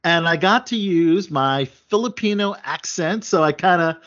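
A man's voice: on the high side, lively intonation, a little slow, fairly bright tone. A man hosting a casual chat show, talking at length with many fillers and restarts. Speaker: podcast host. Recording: dull lo-fi sound.

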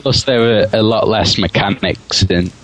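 British male podcast guest, notably deep voice, casual conversational delivery. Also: unhurried, fairly bright tone, poor audio. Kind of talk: casual chat.

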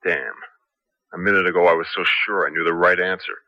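A man's voice: low-pitched, fairly even intonation, brisk, no room sound. An actor playing an agitated, conscientious male American journalist in a 1970s radio drama. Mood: agitated, torn. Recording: dull, dry old radio recording.

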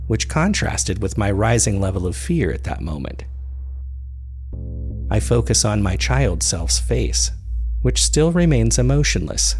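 Man, low creaky voice, measured delivery, first-person dramatic narration, music behind. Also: darkish tone. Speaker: narrator of a serial fiction podcast.

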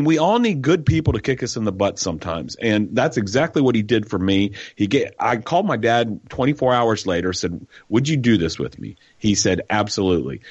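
Deep-voiced man with a Texas twang. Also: dry recording, dull audio. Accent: Texas-tinged American. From New York. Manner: folksy storyteller, acts out quoted voices. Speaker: guest author. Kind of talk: radio interview.

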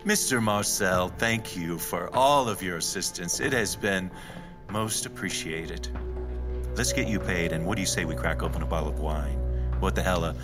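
An actor playing a greedy, bitter rich heir in an audio drama, haughty and sardonic with theatrical petulance. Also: male voice, deep voice, moderate pace, over music, lightly acted. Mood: smooth politeness. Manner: haughty, sardonic, swooping delivery. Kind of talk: dramatic audio fiction acting.

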